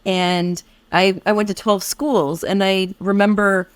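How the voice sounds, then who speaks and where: An American woman co-hosting a podcast, reflecting at length in a warm, affirming conversational way with many restarts. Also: medium pitch, fairly even intonation, a little slow. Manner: reflective, affirming, speaks in long winding sentences with restarts. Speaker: podcast co-host.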